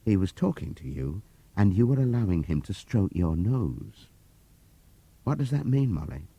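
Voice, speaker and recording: A man voicing an earnest, motherly mare who questions sternly and gravely in an audiobook. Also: lowish voice, very animated, normal speed, mellow, dry recording, heavily acted.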